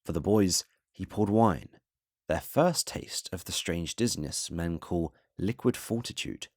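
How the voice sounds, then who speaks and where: A man reads a scripted fantasy bedtime story in a relaxing ASMR style, with a measured, solemn, literary delivery. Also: low-pitched, expressive, darkish tone, dry recording, studio quality. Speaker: storyteller, narrator.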